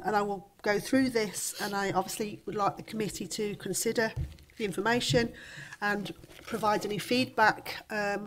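A woman's voice: mid-range voice, a little hiss or hum. A woman with a cold presenting a housing report to a council committee in a large formal chamber, coughing now and then, hesitant with many ums and restarts.

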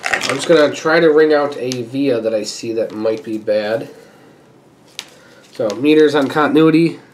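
A man's voice: medium pitch, a little slow, slight background noise. A man who sounds stuffy from a sinus infection talks casually and thinks aloud while he works through a hands-on repair at a workbench. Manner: relaxed and chatty, thinking aloud while working. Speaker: repair channel host. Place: indoor workbench.

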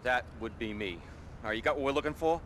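An actor playing a jaded, sarcastic middle-aged American club-owner criminal in a video game, with a dry, theatrical, drawn-out delivery. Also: male voice, medium pitch, fairly bright tone, a little hiss or hum, close to an everyday voice. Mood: weary, irritated. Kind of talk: video game cutscene acting.

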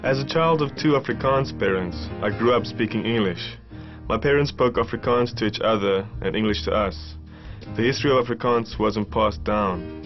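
Low-voiced man narrating a documentary. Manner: measured, reflective storytelling. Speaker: filmmaker narrating his documentary. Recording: muffled voice-over under music.

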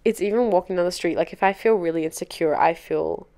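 A woman's voice: fairly low pitch, fast talker, mellow. A woman in her twenties chatting candidly and encouragingly, like a podcast host giving casual solo advice at home. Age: 20s. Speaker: podcast host and dance teacher. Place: living room sofa, indoors.

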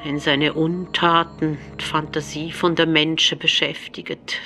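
A woman's voice: sits low, little pitch movement, a little slow. A woman recounting what people used to say, in a storytelling style. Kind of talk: storytelling recollection. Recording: faint music behind.

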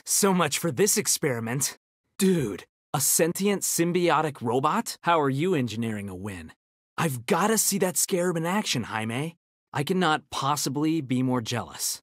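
A man's voice: a little high, no room sound, clean studio sound. A man voicing a brainy, cocky young ninja turtle in a video game, with an American accent and nerdy, quippy confidence. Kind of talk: video game character battle banter.

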